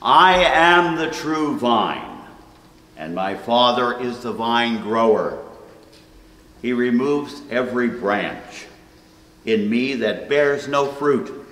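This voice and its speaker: A man in his seventies reading scripture solemnly, with deliberate, declamatory phrasing. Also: mid-range voice, a bit bright. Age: 70s. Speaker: scripture reader. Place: church sanctuary at a wooden pulpit, indoors. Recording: echoey hall with long reverb.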